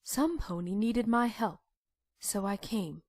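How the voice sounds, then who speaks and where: A woman voicing a gentle pony princess in a comic dub, speaking in a measured, earnest way. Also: medium pitch, lively intonation. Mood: calm, pleading. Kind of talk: dramatic comic dub acting.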